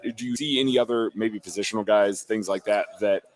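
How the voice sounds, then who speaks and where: Low-voiced man, voice going hoarse. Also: brisk. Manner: asks leading questions, breaks down players. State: losing his voice. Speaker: football analyst. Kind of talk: casual live draft chat.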